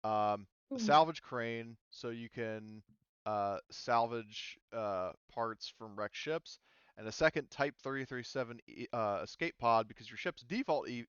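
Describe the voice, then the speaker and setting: A man's voice: fairly low pitch, smooth, no echo, muffled recording. A man acting as game master, talking casually and swearing freely to players in a tabletop roleplaying session. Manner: casual, profane.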